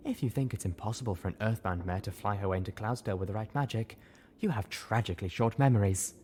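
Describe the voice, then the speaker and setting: A man's voice: fairly low pitch, quick pace, darkish tone, breathy edge. A man voicing a refined female unicorn, speaking sweetly but primly and cuttingly.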